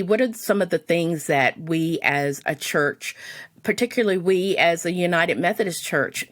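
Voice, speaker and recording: A woman with a notably low voice guides a panel discussion. She asks her questions in a measured way and pauses softly with "um" as she goes. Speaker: moderator.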